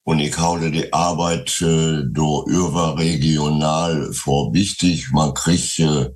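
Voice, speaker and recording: A man in his eighties with a Westphalian Low German accent, speaking hesitantly in an interview, with frequent pauses. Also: fairly even intonation. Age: 80s. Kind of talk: casual interview.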